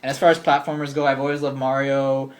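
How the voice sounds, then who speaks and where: A man's voice: medium pitch, flat delivery, fast talker, a little hiss or hum. Man, 20, channel host; easygoing chatty Q&A delivery, filler-heavy, mid-range voice. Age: 20s. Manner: chatty, upbeat, lots of ums and likes. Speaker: channel host answering viewer questions. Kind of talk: casual Q&A vlog. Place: bedroom with game shelves.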